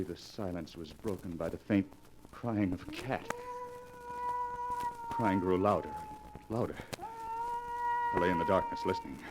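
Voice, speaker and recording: A haunted, desperate man, played by a male actor in a 1940s radio thriller. His theatrical delivery is intense and brooding, on a clearly noisy old broadcast recording. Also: lowish voice, lightly acted. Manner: theatrical, brooding narration rising to frantic outbursts. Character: haunted, desperate fiance confessing to his doctor. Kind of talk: 1940s radio melodrama acting.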